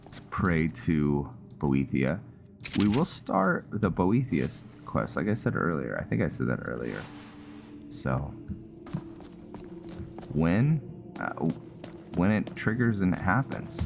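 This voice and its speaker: A man's voice: low voice, very animated, slow, creaky, long pauses, music in the background. A man giving casual, conversational let's-play commentary, musing aloud as he plays. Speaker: gaming streamer, host.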